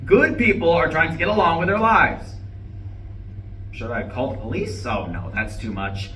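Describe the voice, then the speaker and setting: A man's voice: on the high side, expressive, normal speed, pushed and bright. An actor playing a distraught, irritable, newly divorced man in a live stage play reading. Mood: irritated. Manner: faltering, sighing, swinging into bitter outbursts. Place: indoor room at a live reading. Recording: echoey room, distant mic, faint noise.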